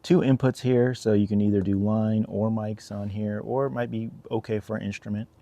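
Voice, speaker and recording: Male reviewer, lowish creaky voice, informal unboxing delivery, talks through things hands-on. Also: fairly even intonation, moderate pace, dark tone, no room sound. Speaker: product reviewer.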